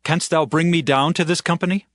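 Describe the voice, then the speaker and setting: A man's voice: fairly high pitch, steady tone, fairly quick, fairly bright tone, no room sound, studio quality, pitched above the performer's own voice, lightly acted. A man voicing a righteous, commanding warrior leader with a formal, declamatory delivery in a scripture drama. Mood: questioning.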